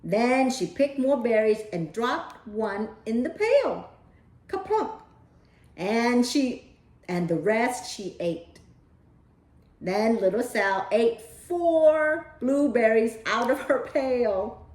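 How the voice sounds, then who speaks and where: A woman's voice: a little high, expressive, slow pace, a little mellow. A woman storyteller reading a children's picture book aloud. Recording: roomy echo.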